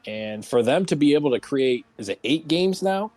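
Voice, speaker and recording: A man's voice: medium pitch, animated, normal speed, darkish tone, no echo. A man hosting a casual livestreamed round table talk show.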